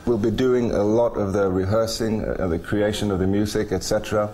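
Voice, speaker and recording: A man welcoming participants and explaining the plans, pausing with small hesitations. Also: on the low side, fairly even intonation, mellow. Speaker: project organizer. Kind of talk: welcome speech.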